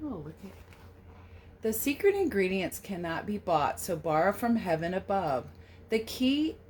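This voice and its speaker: A woman teacher reading a picture book aloud to children, expressive and sing-song, with casual questioning asides. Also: mid-range voice, slight background noise. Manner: animated, questioning, sing-song verse delivery.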